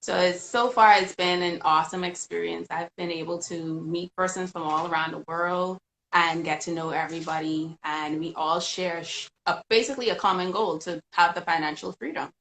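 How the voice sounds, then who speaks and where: A woman with a lowish voice talks easily as a guest on a live chat, laughing often. Her voice rings in an echoey room. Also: steady tone, normal speed, vocal fry, a bit bright. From Bahamas. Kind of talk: casual live-stream chat.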